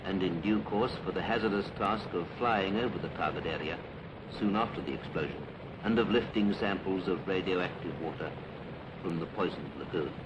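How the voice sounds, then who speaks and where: Low-voiced British man narrating a 1950s documentary. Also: normal speed, heavy background noise. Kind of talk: 1950s British public information film narration, scripted. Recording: 1950s film soundtrack with music.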